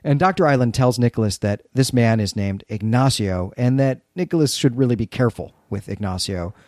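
A man's voice: mid-range voice, brisk, a little mellow, no room sound. Man co-hosting a podcast, close dry sound. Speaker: podcast co-host. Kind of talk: conversational story recap and literary discussion.

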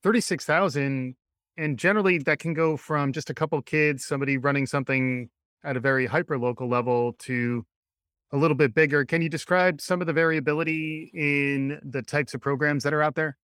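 A man's voice: on the high side, steady tone, fairly quick, a bit bright, clear, resonant tone, dry recording, clean studio sound. A young adult man hosting an education podcast, upbeat and joking, who asks long, rambling questions and mentions being a dad. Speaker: podcast host. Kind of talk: podcast interview.

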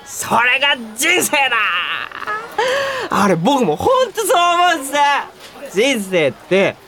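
An actor playing an earnest, polite young office junior in a Japanese radio drama, easily exasperated. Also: male voice, high-pitched, very animated, moderate pace, a crowd in the background. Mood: drunk, impassioned. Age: young adult.

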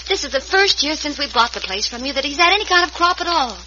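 An anxious young frontier woman, high voice. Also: animated, bright, forceful tone. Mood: worried. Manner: hesitant, halting. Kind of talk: old-time radio melodrama acting. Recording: noisy, muffled vintage radio recording.

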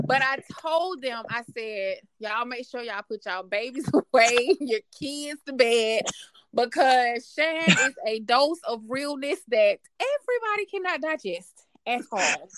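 A woman hosting a podcast in a casual, effusive, informal way, quick to laugh. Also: sits high, lively intonation, noisy background. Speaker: podcast host.

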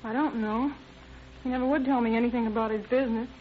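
An actress playing a nervous, earnest, put-upon wife who begins hesitantly, in an old-time radio crime drama. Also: female voice, on the high side, steady tone, quick pace, natural voice. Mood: earnest, uneasy. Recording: tinny, noisy vintage radio recording.

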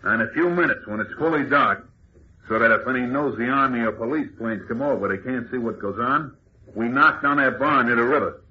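An actor playing a tough, callous gang boss in a radio serial, bossy and sneering, with rough slangy diction. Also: male voice, mid-range voice, fairly quick, close to an everyday voice. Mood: bossy, impatient. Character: tough, callous male crook boss of escaped convicts. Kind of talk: old-time radio serial acting. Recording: muffled, noisy old radio recording.

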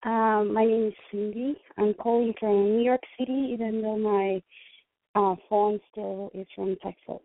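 A woman's voice: medium pitch, steady tone, measured pace, no room sound. 30s woman w mexican accent, lots of likes and ums, phone-line sound. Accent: Mexican Spanish. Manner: hesitant, full of likes and ums. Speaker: caller. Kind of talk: phoning in for advice.

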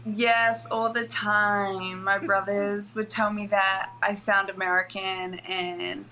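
Australian woman in her twenties, college athlete guest, bright tone, soft and hesitant delivery. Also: mid-range voice, flat delivery, slow, a little hiss or hum. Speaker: college basketball player, guest. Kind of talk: interview answers.

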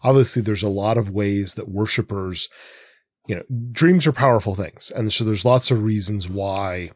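Male podcast co-host, lowish voice, dry close sound, discursive literary talk. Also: moderate pace, dry recording. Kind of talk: literary discussion of comics and mythology.

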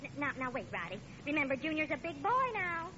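An actress playing an expressive, tender-hearted, exasperated American wife and mother in 1940s radio sitcom style. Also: high-pitched, fast talker. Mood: pleading. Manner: expressive, pleading. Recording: noisy, muffled old radio recording.

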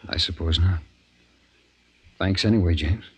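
An actor playing an obsessed, defiant scientist in a 1950s radio drama, intense and halting. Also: male voice, low-pitched, breathy edge, full character voice. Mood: evasive, guarded. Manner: intense, halting, broken phrases. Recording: noisy 1950s radio broadcast recording.

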